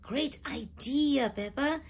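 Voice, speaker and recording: Woman doing a gentle little lamb girl. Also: sits high, slow pace, pitched above the performer's own voice. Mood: helpful. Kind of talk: read-aloud storybook voice.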